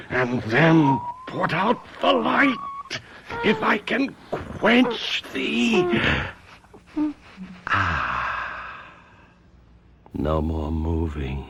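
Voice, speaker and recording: An actor in a radio mystery drama playing a suave, vain stage-star husband with murder in him, speaking in a theatrical drawl. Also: male voice, lowish voice, heavily acted. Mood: grandiose, murderous. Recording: noisy old radio drama recording.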